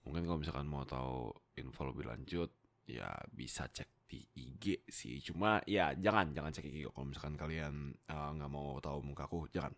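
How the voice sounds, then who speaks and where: A young man chatting casually to his viewers in a deep, gravelly voice, informal and self-deprecating. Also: moderate pace, fairly bright tone. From Indonesia. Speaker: YouTube roleplay voice creator.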